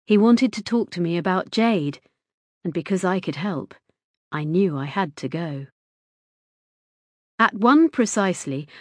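A woman narrates a first-person story in a measured, inward way. Her voice sits at a middle pitch for a woman. The recording is dry and close, with no echo. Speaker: audiobook narrator.

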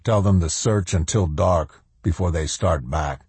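Ruthless male colonel, done by a narrator: deep, dark, grim, measured authority, audiobook drama. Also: slightly rough, dry recording, exaggerated voice. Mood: commanding. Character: commanding male army colonel, ruthless, coolly self-assured fanatic.

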